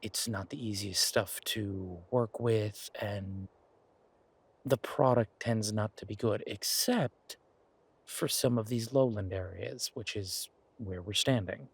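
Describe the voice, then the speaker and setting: A man playing an affectionate, eager boyfriend in an audio roleplay, talking in a casual, halting, coaxing way with a husky voice. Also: lowish voice, heavily acted. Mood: eager, persuasive. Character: affectionate, eager boyfriend pitching grand ideas to his werewolf girlfriend.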